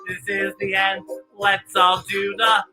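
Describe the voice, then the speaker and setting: A woman's voice: medium pitch, completely flat delivery, takes it slowly, bright, forceful tone, faint music behind. A woman hosting a children's story time in a playful, theatrical, exclamatory way with campy sass and bits of singing. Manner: campy drag-style sass. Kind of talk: playful kids' show presenting with singing.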